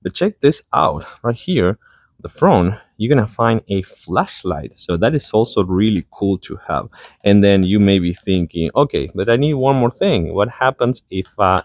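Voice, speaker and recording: Low-voiced man casually reviewing a product. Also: darkish tone, no echo, studio quality. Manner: enthusiastic, informal demonstrator.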